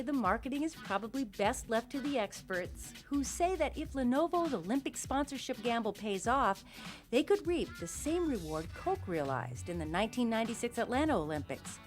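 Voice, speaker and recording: A woman reading a scripted news voice-over with a crisp, lightly wry delivery. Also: on the high side. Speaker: news reporter. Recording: voice-over with faint music behind.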